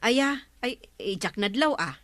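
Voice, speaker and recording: An actress playing a gossipy, teasing village neighbour in a radio comedy drama, with an Ilocano accent. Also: female voice, on the high side, animated, a little slow, fairly bright tone.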